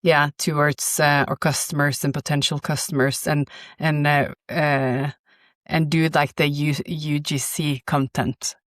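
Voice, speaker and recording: A woman giving halting, thoughtful answers as a guest in a casual interview. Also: sits low, level tone, fairly bright tone, no echo, clean studio sound. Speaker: chief marketing officer, podcast guest.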